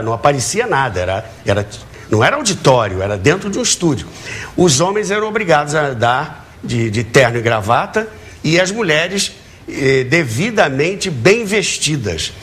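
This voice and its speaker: An older man from Rio, in his seventies, speaks as a guest in an interview. His voice sits a bit high and swings widely in pitch. He tells his anecdotes eagerly, repeating words and posing rhetorical questions. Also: fairly bright tone, a little hiss or hum. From Brazil, Rio de Janeiro. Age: early 70s. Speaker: talk show guest, author of a book on radio theatre. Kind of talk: animated anecdotal storytelling in an interview. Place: TV talk show studio with audience.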